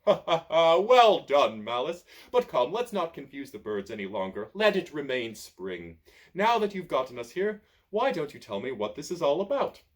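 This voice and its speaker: A man voicing a calm, genial old male wizard who drawls with dry amusement in a storybook. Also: medium pitch, moderate pace. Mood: wry.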